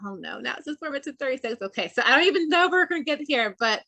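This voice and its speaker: A woman's voice: sits high, lively intonation, very fast, creaky, sharp tone, no room sound. A woman hosting a podcast, chatting casually in an effusive, slangy way. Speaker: podcast host. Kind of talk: casual interview chat.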